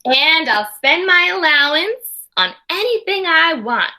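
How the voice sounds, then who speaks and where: A woman's voice: very high voice, big pitch swings, measured pace, bright, forceful tone, a little hiss or hum. A woman teaching young children, reading a storybook aloud expressively and chatting to them in conversational asides. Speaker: early primary school teacher.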